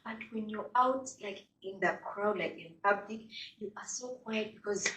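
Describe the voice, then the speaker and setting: A woman's voice: medium pitch, normal speed, sharp tone. A woman hosting a casual interview, chatting and often filling with "like". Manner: chatty, leans on "like" fillers. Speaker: podcast host. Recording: echoey, distant mic.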